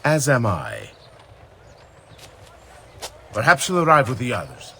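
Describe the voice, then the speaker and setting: A man's voice: medium pitch, huge pitch swings, takes it slowly, gravelly, pushed and bright, a little hiss or hum, full character voice. A man playing a suspicious, arrogant Venetian conspirator in a video game, pointed and impatient in manner.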